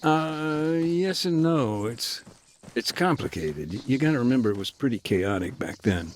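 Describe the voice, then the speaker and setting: An actor playing a thoughtful aid worker in a war zone, talking on the phone from a car in a relaxed, hesitant way. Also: male voice, mid-range voice, moderate pace, slight background noise, close to an everyday voice. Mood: calm. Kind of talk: video game cutscene dialogue. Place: moving vehicle. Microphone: phone call.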